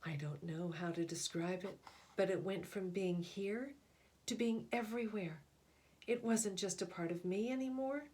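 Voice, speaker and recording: A woman reading a picture book aloud as a storyteller, with deliberate, expressive phrasing and pauses. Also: mid-range voice, a little hiss or hum.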